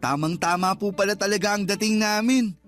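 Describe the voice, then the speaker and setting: High-voiced cheerful young son, music behind. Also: male voice, fairly quick, a bit bright. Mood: excited. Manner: teasing, reassuring. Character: cheerful young college-age son introducing his girlfriend. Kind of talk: Filipino radio drama acting.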